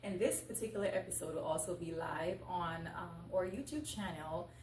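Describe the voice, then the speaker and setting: A woman's voice: on the low side, moderate pace, a little mellow, slight background noise. A woman hosting a talk show and interviewing a guest in a conversational, live style. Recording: echoey, distant mic with faint music.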